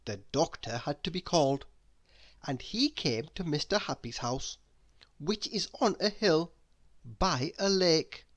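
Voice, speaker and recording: A woman narrates a children's storybook in a fairly low voice. She reads expressively and slowly, with lively rises and falls. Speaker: storybook reader.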